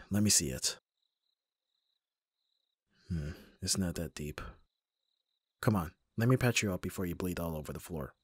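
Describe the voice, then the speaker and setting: A man playing an abrasive young bodyguard who is secretly soft, in an ASMR roleplay audio drama, with gruff, protective exasperation. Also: sits low, measured pace, long pauses, no echo. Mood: concerned, gentle.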